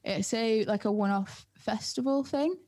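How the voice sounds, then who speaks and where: A woman's voice: on the high side, a little slow, darkish tone. A young woman with a northern accent from the North East of England, chatting casually in a giggly, slangy, informal way. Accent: Northern English, North East. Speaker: DJ and music producer. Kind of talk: casual chat.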